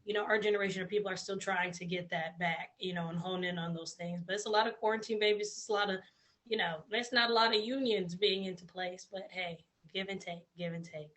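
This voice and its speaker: Casting: woman host, bright forward tone, chatty, casual talk show. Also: mid-range voice, steady tone, brisk. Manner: chatty, trailing off with fillers. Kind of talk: casual chat.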